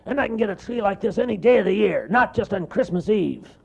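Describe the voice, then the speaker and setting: A man's voice: high voice, animated, brisk, vocal fry, husky, highs cut off, voice pushed up, exaggerated voice. A man doing a roaring, grumpy storybook bear. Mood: angry boastful. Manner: shouting, sneering, angry. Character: grumpy bossy male bear, loud and scornful.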